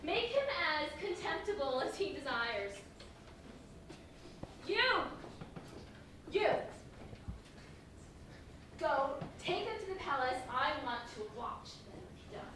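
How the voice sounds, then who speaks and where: Lady acting cruel roman emperor, high pitched n swooping all over, bossy and mocking, stage reading. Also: sing-song, slow pace, long pauses, exaggerated voice. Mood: imperious, contemptuous. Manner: imperious, mocking, commanding. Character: Roman emperor, male tyrant, imperious, scornful, cruel. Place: large reverberant performance space, staged reading. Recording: echoey hall, distant mic, clear noise.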